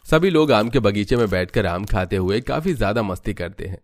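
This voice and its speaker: A man narrating an animated family story in a steady, even storytelling delivery. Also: fairly low pitch, a little fast, no echo, studio quality. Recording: music under the voice.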